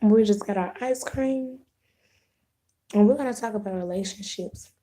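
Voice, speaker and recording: A hoarse woman with a sore throat, hosting a casual live video chat with her viewers, rambling and restarting her sentences. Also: mid-range voice, warm tone. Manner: unhurried, rambling, clears throat, frequent restarts.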